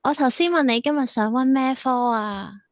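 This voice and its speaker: An actress playing a sweet, gentle young woman in love in an audio drama, tender and girlish, with a Hong Kong Cantonese accent. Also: sits high, moderate pace. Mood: cheerful.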